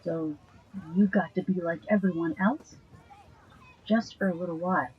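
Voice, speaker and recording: A woman playing a sweet, playful young girlfriend from Canada in an audio drama, with a breathy, husky voice and a gently teasing manner. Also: fairly low pitch, fairly even intonation, normal speed, phone-quality sound, a little hiss or hum, close to an everyday voice. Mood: soft curiosity.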